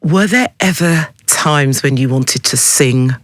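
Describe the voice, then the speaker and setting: A man's voice: a little high, takes it slowly, a bit bright, no echo. A man hosting a radio interview in a studio, speaking in a direct, effusive, emphatic way. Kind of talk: broadcast interview chat.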